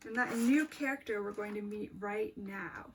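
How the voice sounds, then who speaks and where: A woman teacher reading a picture book aloud to children in an animated, deliberate way, pausing to ask questions. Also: mid-range voice, a little hiss or hum.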